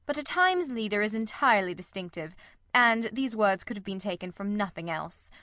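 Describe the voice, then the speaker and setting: A woman voicing a confident, analytical gentleman detective who lectures precisely, in an audiobook. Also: medium pitch, expressive, normal speed, no room sound, clean studio sound. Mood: assured, lecturing. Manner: didactic, precise. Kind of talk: audiobook character reading.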